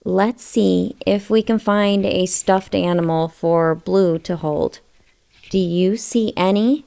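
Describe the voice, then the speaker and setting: Slow-reading woman, softly mellow storybook narrator. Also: medium pitch, steady tone, gravelly. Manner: addresses young listeners with questions. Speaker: children's book read-aloud narrator. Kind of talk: scripted storybook read-aloud.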